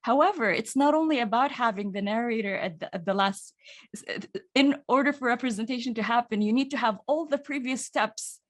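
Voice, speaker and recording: A woman's voice: a little high, fairly quick. A woman from Saudi Arabia with a Saudi Arabian accent, an actor and audiobook narrator talking thoughtfully in an interview, often restarting her sentences. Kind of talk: casual interview talk.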